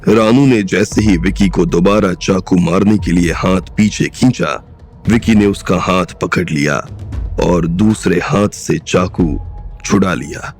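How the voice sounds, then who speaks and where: A man with a very low, gravelly voice narrates a crime drama at a slow, measured pace. His delivery is steady and even throughout. Faint background music runs underneath. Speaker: audiobook narrator.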